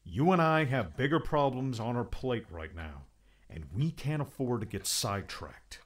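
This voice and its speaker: A man's voice: mid-range voice, animated, measured pace, close to an everyday voice. A man acting a stern, exasperated friend in an audiobook, blunt, scolding and insistent. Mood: stern warning.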